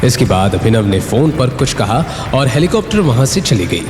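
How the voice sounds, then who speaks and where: A man's voice: mid-range voice, big pitch swings, moderate pace, background noise. A man narrating a scripted drama story with suspenseful, emphatic delivery. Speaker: narrator of an audio drama series. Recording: roomy long reverb, music bed.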